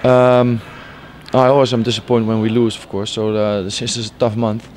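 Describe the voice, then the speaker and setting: A man in his forties speaks in a level, flat voice with little pitch movement, pausing often and restarting sentences as he searches for words. He stands outdoors by the pitch at a stadium, and a faint outdoor hum sits beneath his voice. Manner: halting, many fillers and restarts. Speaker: football head coach. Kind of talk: post-match interview.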